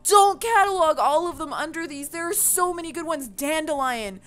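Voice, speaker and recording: A woman's voice: high voice, animated, normal speed, a bit bright. A woman giving a casual, opinionated rant to viewers, passionate and emphatic, with loose swearing, as a gaming video creator.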